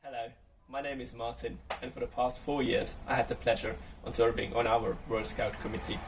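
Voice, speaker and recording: Man, gravelly, firm recorded message, distant mic, faint hiss. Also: medium pitch, flat delivery, brisk. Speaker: former world scout committee member.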